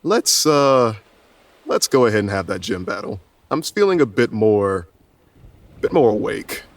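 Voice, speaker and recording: A man playing an earnest, concerned young trainer in tabletop roleplay, speaking gently and hesitantly. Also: lowish voice, animated, unhurried, gravelly, heavily acted. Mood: resigned.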